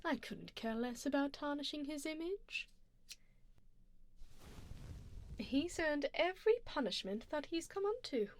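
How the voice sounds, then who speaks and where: A woman voicing a haughty, doting vampire duchess in an ASMR roleplay, speaking formally and softly, close to the microphone, with drops into whispers. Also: high-pitched, lively intonation, moderate pace. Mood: indulgent, composed. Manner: formal, aristocratic phrasing, soft and breathy with drops into whispers. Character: haughty, doting female vampire duchess, refined and coolly menacing. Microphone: close binaural ASMR microphone.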